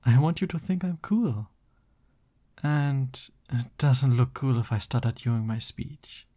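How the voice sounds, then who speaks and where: A man's voice: medium pitch, animated, a little slow, dark tone. A man playing a young supervillain boyfriend in an ASMR roleplay, theatrical and boastful but easily flustered and affectionate. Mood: flustered, embarrassed.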